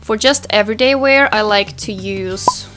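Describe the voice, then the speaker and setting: Soft-spoken young woman chatting through makeup. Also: mid-range voice, normal speed, over music. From Finland. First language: Swedish. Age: young adult. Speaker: beauty vlogger. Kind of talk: makeup tutorial with Q&A. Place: small pastel bedroom, indoors.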